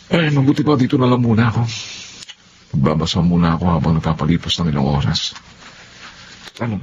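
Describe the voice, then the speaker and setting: Actor as low-voiced earnest young working student. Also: male voice, fast talker, highs cut off, background noise, lightly acted. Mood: musing. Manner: thinking aloud, earnest. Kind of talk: radio drama monologue.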